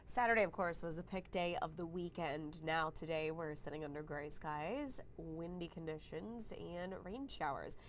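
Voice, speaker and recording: A young adult woman meteorologist giving a friendly, conversational live weather forecast while fighting a cold, now and then clearing her throat. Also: low-pitched, moderate pace, hardly any pauses, a little hiss or hum. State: has a cold, slightly hoarse.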